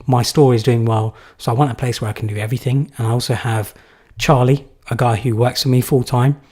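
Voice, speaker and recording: A man's voice: mid-range voice, steady tone, brisk, a little mellow. A young man from England answering viewer questions in a live stream, casual and rambling with many fillers. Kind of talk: live Q&A chat.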